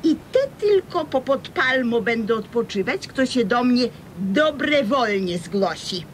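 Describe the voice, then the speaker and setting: A woman's voice: animated, unhurried. An actress playing a brash, scheming hustler woman in a radio comedy serial, bossy and wheedling, with a Podlasie Polish accent. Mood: bossy, defiant. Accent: Podlasie (eastern Polish). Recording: faint rumbling background noise.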